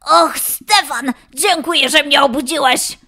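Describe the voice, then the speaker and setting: A woman voicing an eager, confident young male lion in a children's story, excitable and boastful. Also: high voice, measured pace. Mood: grateful.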